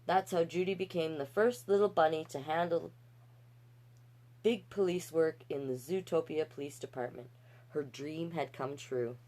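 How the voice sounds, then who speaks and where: A woman hosting a livestreamed storytime, reading picture books in an animated way with chatty, encouraging asides to viewers. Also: deep voice, normal speed. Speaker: storytime host and reader.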